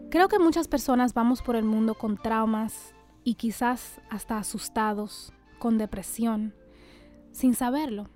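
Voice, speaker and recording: A woman hosting a podcast who narrates and interviews a guest in a calm, measured way. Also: on the high side, soft background music. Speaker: podcast host.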